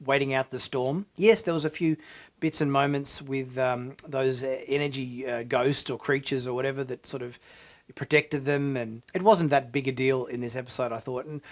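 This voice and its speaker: A hoarse man hosting a sci-fi channel, giving a casual spoken TV review with lots of fillers and restarts. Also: mid-range voice, steady tone, normal speed. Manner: chatty, hesitant with frequent fillers and restarts. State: hoarse from illness.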